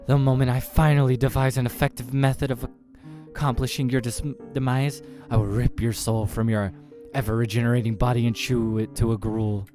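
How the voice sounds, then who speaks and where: A man's voice: mid-range voice, level tone, brisk, few pauses, a little mellow, soft background music, voice pushed up, close to an everyday voice. A man voicing a pompous, menacing female eldritch monster for a horror game, speaking in grandiose threats.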